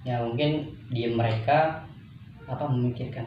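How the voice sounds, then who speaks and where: A young man acting as a counsellor in conversation, asking and encouraging in a halting way, searching for words. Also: medium pitch, steady tone. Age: young adult. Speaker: counsellor in a practice session. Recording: echoey room, distant mic, muffled, noisy.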